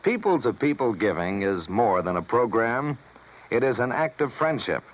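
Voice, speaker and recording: A man's voice: mid-range voice, big pitch swings, normal speed. A man announcing in 1950s radio style, reading scripted narration in an earnest, formal, dramatic way. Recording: dull vintage radio recording.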